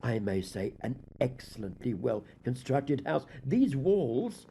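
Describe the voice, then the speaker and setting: A man's voice: medium pitch, sing-song, slow pace, creaky, dark tone, a little hiss or hum, heavily acted. A man performing a deranged, guilt-haunted murderer's confession in a theatrical, formal gothic audiobook reading. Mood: nervous bravado. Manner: theatrical, formal, dramatic emphasis.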